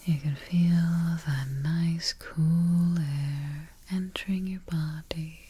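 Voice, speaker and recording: A woman whispering softly and breathily in a gentle, soothing manner, guiding a sleep relaxation as an ASMR host up close to the microphone. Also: sits low, steady tone, slight background noise. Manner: slow, gentle, soothing, repeats soft check-ins.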